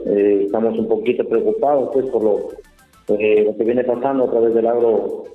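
A man's voice: lowish voice, fairly even intonation, moderate pace, faint music behind. Man, farmer, phone interview, tinny phone line, echoey, hesitant. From Peru. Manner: hesitant, many fillers and restarts. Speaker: rice farmer.

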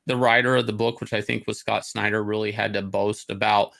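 Gravelly man giving casual commentary. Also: fairly low pitch, little pitch movement, fairly quick, fairly bright tone. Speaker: host of a comics talk show. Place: indoor room, on a video call.

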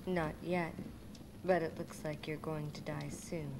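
A woman's voice: low voice, flat delivery, a little slow. An actress playing a cool, scornful young woman adventurer in a video game cutscene. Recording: noisy game audio.

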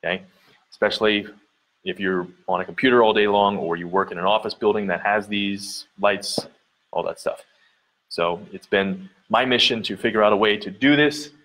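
Man in his thirties, gym owner, somewhat low voice, earnest and repetitive, filler-heavy, handheld camera mic in a big indoor gym. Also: a bit bright, rough recording. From Central Ohio, US. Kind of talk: casual walkthrough vlog. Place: large dim indoor gym studio. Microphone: handheld camera mic at arm's length.

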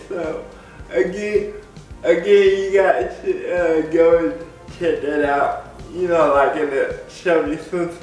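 Young man, congested, slow halting chat, laughs a lot, echoey room, far from the mic. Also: a little high. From United States. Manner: rambling, halting, laughs often. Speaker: vlogger. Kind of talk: casual chat to camera. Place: living room with big windows. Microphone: camera across the room.

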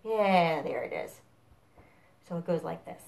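Middle-aged female children's librarian, unhurried and encouraging, with lively pitch swings, guiding little ones through storytime. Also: gravelly. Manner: animated, encouraging, simple instructions to children. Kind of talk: interactive storytime for young children, with chants and counting. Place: home kitchen, indoors. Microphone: camera a few feet away.

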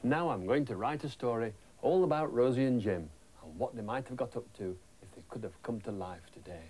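A middle-aged British man presenting and telling stories to young children in simple, clearly phrased sentences. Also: mid-range voice, a little fast, warm tone, slight background noise. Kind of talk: gentle scripted storytelling to young children.

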